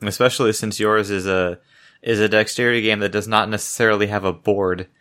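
Low guy with a sharp bright voice, podcast host asking stuff. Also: steady tone, a little fast. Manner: inquisitive, steers the talk. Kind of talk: casual podcast chat.

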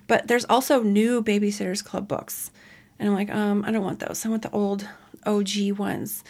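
Woman, 40s, solo podcast host; casual, rambling, mid-pitched everyday voice. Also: darkish tone. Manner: rambling, chatty, self-correcting asides.

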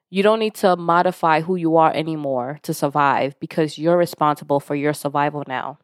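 A woman's voice: low voice, no echo, clean studio sound. A woman from Nigeria whose first language is Yoruba, hosting a podcast and talking frankly and casually, with the odd curse, about self-growth. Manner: frank and conversational, with casual swearing; lively when retelling stories, voicing others. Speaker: podcast host. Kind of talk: candid self-help monologue.

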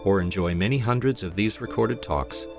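A man reading a scripted welcome for a talk series in a measured, even way. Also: on the low side, creaky, music in the background. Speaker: show announcer. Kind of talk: scripted intro read. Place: studio, music underneath.

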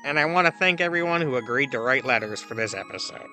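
Man playing a bright, punchy, breezy god podcaster. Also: mid-range voice, lively intonation, fast talker, soft background music. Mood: upbeat, promotional. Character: cheerful deluded god dispensing absurd, dangerous advice. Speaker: advice podcast host. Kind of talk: comedic audio drama monologue, advice show.

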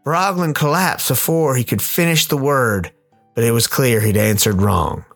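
Husky man narrating a radio play. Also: medium pitch, moderate pace, a bit bright. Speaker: host and narrator. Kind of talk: scripted story narration. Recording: music behind the voice.

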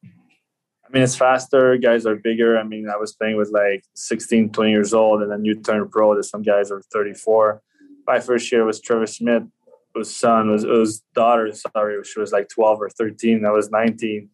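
Low, even-toned young French Canadian man. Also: moderate pace, poor audio. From Quebec City, Canada. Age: 20s. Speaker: podcast guest, professional hockey player. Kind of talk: casual interview talk.

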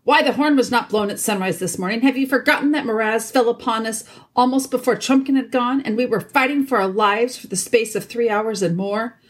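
A woman plays a young storybook king under strain, stern and commanding. The voice is husky and set higher than narration. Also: fairly quick, natural voice.

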